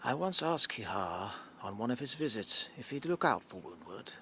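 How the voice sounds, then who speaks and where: A wise old rabbit chief, fatherly and kindly in a radio play. His mid-pitched voice moves with lively melody at a measured gait, over faint background ambience. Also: a little hiss or hum. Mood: fond, storytelling. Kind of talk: radio drama storytelling.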